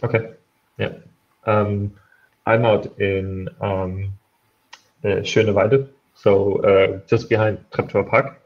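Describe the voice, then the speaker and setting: A man's voice: lowish voice, fairly even intonation, slow, long pauses. A young man who speaks English as a second language, with a German accent touched by a slight Irish lilt. He chats casually on a video call from home and fills his sentences with "um" and "like". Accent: German, with a slight Irish tinge. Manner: rambling, full of fillers like "um" and "like". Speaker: meetup participant. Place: bright room at home with shelves, indoors. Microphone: headphones, laptop on a video call. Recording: dull lo-fi call audio.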